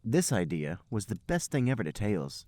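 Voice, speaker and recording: Guy podcast host reading goofy story narration, kinda low voice, no room echo. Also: big pitch swings, moderate pace, a little mellow. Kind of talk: comedic read-aloud narration.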